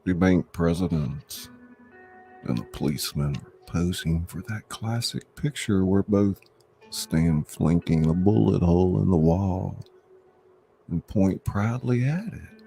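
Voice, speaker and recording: A man's voice: slow pace, warm tone, soft background music. A man hosting a casual livestream, reading a script aloud as narrator. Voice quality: low, gravelly. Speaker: host and script reader.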